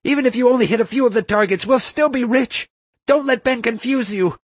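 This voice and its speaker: A man's voice: very high-pitched, a little fast, husky, no room sound, pitched above the performer's own voice. A man voicing a furious, frantic villainous girl in an audiobook, screaming shrilly and desperately. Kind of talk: audiobook character acting.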